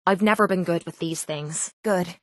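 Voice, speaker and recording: An actress voicing a tough, guarded but loyal young Irish pit fighter in a video game, speaking bluntly and colloquially. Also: female voice, on the low side, normal speed, fairly bright tone, no room sound, clean studio sound, lightly acted. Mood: awkward, earnest. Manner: blunt, rough-edged, colloquial. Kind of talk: video game companion dialogue.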